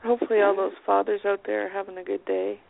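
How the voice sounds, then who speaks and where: A woman with a creaky voice chatting casually as a participant on a radio show. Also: medium pitch, flat delivery, quick pace. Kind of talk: casual chat. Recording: tinny phone-like sound, faint noise.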